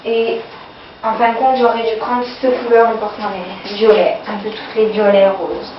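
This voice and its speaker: A woman's voice: mid-range voice, little pitch movement, moderate pace, noisy background. Hesitant woman with a cold, echoey lo-fi video. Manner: hesitant, many fillers, keeps saying "voilà". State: has a cold, runny nose and cough. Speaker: vlogger showing sale purchases. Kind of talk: casual haul video. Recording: echoey, distant, dull lo-fi home video.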